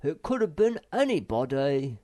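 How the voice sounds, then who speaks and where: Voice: medium pitch, very animated, normal speed, mellow, voice pushed up, close to an everyday voice. Moomin Troll, a man doing an earnest young troll. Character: young male troll, earnest and fretful leader.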